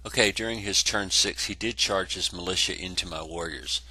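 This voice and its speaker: Man, congested, very low husky voice with a bright edge, rambling casual wargame recap. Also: normal speed, dry recording, rough recording. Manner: rambling, self-correcting, lots of fillers. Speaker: tabletop wargame player narrating a battle report. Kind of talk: casual battle report narration over photos.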